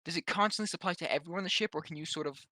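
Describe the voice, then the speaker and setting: A man's voice: fairly high pitch, racing, sharp tone, no echo. A man chatting casually as a player at a tabletop game, with a tentative, questioning way of talking.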